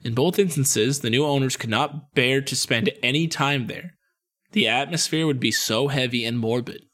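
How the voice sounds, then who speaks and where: A man's voice: medium pitch, moderate pace, fairly bright tone. A young American man with a mid-range voice co-hosts a podcast. He tells stories casually, sliding between scripted narration and slangy, jokey asides. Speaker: podcast co-host. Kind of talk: casual comedic storytelling, reading a script with asides.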